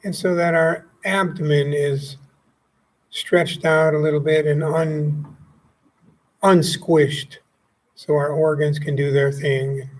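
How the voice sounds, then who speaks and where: A man leading a guided meditation as a teacher, speaking calmly and gently in short phrases with pauses. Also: fairly high pitch, poor audio. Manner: calm, gently instructive, pausing between short phrases.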